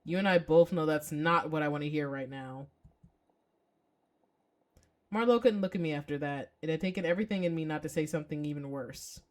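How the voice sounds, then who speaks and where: A brooding young man from a visual novel, read by a woman, slightly low in pitch. He is lovelorn and bitter, with wistful, sardonic self-pity. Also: a little mellow, lightly acted.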